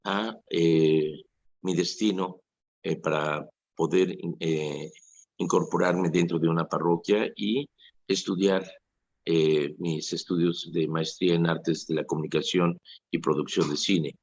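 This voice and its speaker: Mexican priest, 60s, deep and husky, earnest radio preacher; dull lo-fi broadcast sound. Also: male voice, fairly even intonation, slow, darkish tone. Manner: earnest preaching with vivid quoted asides.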